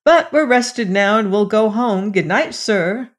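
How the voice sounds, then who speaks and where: A woman's voice: medium pitch, lively intonation, measured pace, voice pushed up, close to an everyday voice. A woman voicing a candid, helpful village boy who speaks openly in a storybook tale. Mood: matter-of-fact. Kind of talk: storybook character voice.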